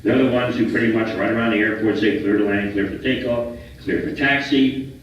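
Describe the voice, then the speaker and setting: Male, 70s, American, informal guest lecturer with halting delivery; distant, muffled pickup. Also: lowish voice, fairly even intonation. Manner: halting, repeats and restarts phrases. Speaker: guest speaker, retired air traffic controller. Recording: roomy, distant, dull, faintly noisy hall recording.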